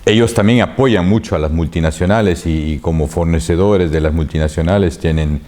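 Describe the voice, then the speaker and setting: Low, mellow-voiced man speaking haltingly. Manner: halting, many repeated words and pauses. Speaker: interviewee. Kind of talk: thoughtful conversational answer.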